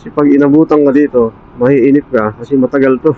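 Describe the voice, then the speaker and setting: Male Filipino motovlogger, mid-pitched, casual and offhand thinking aloud, slightly lo-fi helmet mic sound. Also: fairly even intonation, fast talker. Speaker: motorcycle vlogger. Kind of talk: casual vlog chat while riding. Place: outdoors on a motorcycle at night, in traffic. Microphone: helmet intercom mic under the visor. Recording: dull intercom mic with road noise. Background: faint vehicle and road noise.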